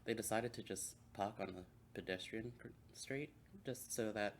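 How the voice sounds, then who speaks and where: A man's voice: on the low side, normal speed. A man from Hong Kong, a native Cantonese speaker, telling stories in a casual podcast chat. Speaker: podcast co-host.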